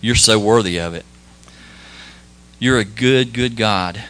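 A man giving an informal church sermon and prayer, folksy and modest, talking in halting phrases with many restarts and fillers. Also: on the low side, a bit bright. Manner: modest, plain-spoken. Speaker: lay preacher.

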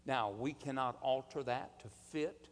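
Male preacher, mid-pitched, slow and deliberate sermon delivery, long hall reverb. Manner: emphatic, deliberate, rhetorical questions and calls for amen.

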